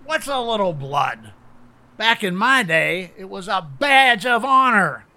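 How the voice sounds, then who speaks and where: A man voicing a cantankerous, foul-mouthed elderly male war veteran in an audiobook, gruff and ranting. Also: sits high, sing-song, takes it slowly, bright, forceful tone, pitched above the performer's own voice, heavily acted. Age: older. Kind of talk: audiobook character voice.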